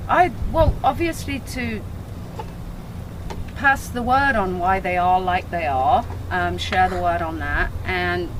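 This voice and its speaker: A woman's voice: on the low side, takes it slowly. A woman journalist giving candid, plain-spoken answers in an informal interview. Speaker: interview guest, freelance journalist. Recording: loud wind and engine noise outdoors.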